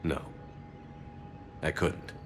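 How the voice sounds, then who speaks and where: A man playing a steady, concerned military hero in a video game, speaking plainly and earnestly. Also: drawn-out words, crowd noise. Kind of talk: video game cutscene dialogue.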